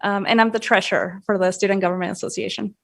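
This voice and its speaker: Mid-pitched woman introducing herself. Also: steady tone, fast, vocal fry, a bit bright. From born in Venezuela, lived mostly in Houston. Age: 30s or older. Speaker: medical student, student government treasurer. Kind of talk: brief self-introduction.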